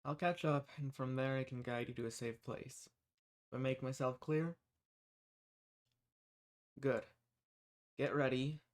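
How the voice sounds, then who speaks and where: A man acts out an undercover rebel in a fantasy roleplay audio drama, speaking straight to the listener. His voice sits at an average male pitch. The phrasing is plain and folksy, that of a hunter with a secret cause. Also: fairly even intonation, measured pace, pauses often, natural voice. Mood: hushed, reassuring. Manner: folksy, reassuring.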